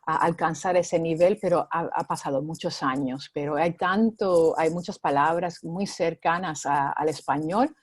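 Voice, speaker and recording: Cuban lady, middle-aged, low voice, chatty guest w lots of fillers, super dry sound. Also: normal speed, few pauses, breathy edge, dry recording. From Cuba. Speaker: guest in ministry. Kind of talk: conversational interview.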